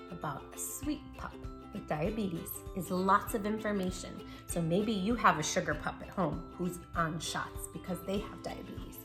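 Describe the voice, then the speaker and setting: Woman, bright forceful voice, expressive children's storybook host, affectionate direct address, music underneath. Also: mid-range voice. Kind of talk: animated read-aloud for young children.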